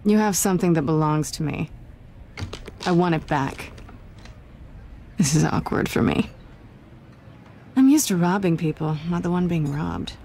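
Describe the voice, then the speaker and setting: Low, mellow sly woman thief. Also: very animated, a little hiss or hum, heavily acted. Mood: pressing, cool. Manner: teasing, sultry, deliberate. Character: sly, flirtatious woman thief, cool and self-assured. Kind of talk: video game voice acting.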